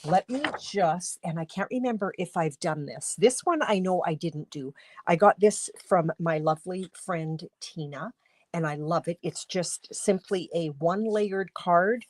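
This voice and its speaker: A woman from Canada hosting a casual craft livestream from her home studio, congested with a cold, chatty and rambling, often clearing her throat. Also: lowish voice, unhurried, darkish tone. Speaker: livestream host and stamping demonstrator.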